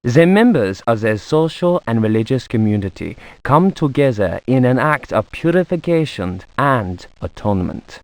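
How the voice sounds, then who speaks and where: An actor voicing a scholarly occult researcher in a video game, reading a grim treatise in a formal, measured, academic way. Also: male voice, medium pitch, very animated, darkish tone, no room sound. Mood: grave, scholarly. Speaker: narrator of an in-game book. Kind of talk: scripted dramatic reading.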